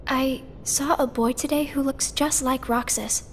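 A soft, earnest teen girl. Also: high voice, steady tone, a little slow, slight background noise. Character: quiet, earnest teenage girl. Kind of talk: video game cutscene acting.

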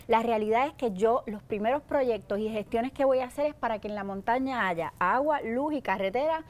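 A middle-aged Puerto Rican woman with a slightly high voice answers interview questions on a studio set. She is earnest and persuasive, laying out her plans point by point into a lapel mic. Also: brisk. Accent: Puerto Rican Spanish. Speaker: political candidate, interview guest. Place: TV studio set, seated in an armchair.

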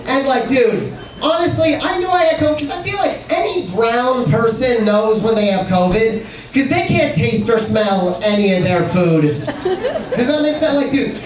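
A man's voice: very high voice, expressive, normal speed. A teenage boy performing conversational stand-up comedy with casual, slangy, exclamatory delivery. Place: indoor comedy club stage. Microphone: handheld stage microphone, picked up from afar. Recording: echoey live club, distant, noisy crowd. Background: loud audience noise.

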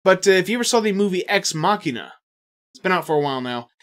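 Animated, profane man podcasting. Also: high-pitched. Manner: animated, profane, quick asides and impressions. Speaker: podcast co-host. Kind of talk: casual gaming podcast chat. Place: indoor studio set.

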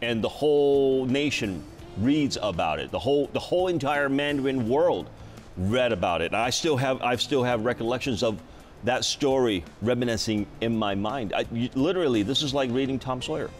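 A man's voice: medium pitch, lively intonation, moderate pace, soft background music. A middle-aged man hosting a studio talk show, speaking conversationally and emphatically, repeating phrases for stress. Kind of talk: conversational late-night interview. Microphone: lapel mic.

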